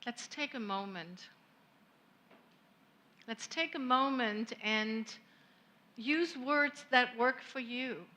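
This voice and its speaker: Lady minister, slow gentle sermon, kinda high voice. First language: German. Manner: gentle, reflective, unhurried with long pauses. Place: church sanctuary.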